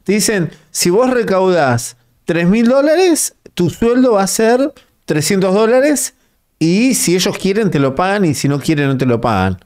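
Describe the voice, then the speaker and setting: A man's voice: high voice, sing-song, unhurried, a little mellow. A man with a Rioplatense Spanish accent interviewing a guest, blunt and pressing for plain answers. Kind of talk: conversational interview.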